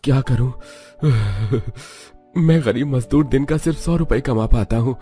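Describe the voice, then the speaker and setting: A man's voice: medium pitch, measured pace, warm tone, soft background music, pitched above the performer's own voice, natural voice. A man voicing a helpless, despairing poor labourer father with an anguished manner in a cartoon. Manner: anguished, sighing.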